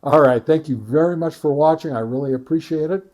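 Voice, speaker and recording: A man giving an informal product review as a channel host, speaking in a halting, deliberate way with frequent hesitations and self-corrections. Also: on the high side, a little mellow.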